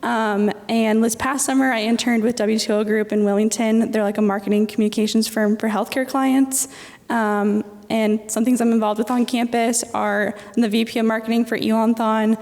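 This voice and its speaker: A young woman in her twenties, originally from Chile, talks on a student panel in an easy, filler-heavy way. Her voice sits fairly high. It carries a long reverb, as if heard in a large hall. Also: little pitch movement, moderate pace, gravelly, a little mellow. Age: 20s. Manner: conversational, frequent um fillers. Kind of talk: panel discussion.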